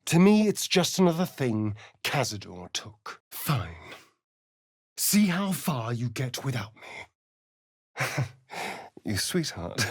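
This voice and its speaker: An actor playing a sardonic, vain male vampire spawn rogue in a video game, arch and teasing. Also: heavily acted. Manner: arch, teasing, theatrical swoops in pitch. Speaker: game companion character.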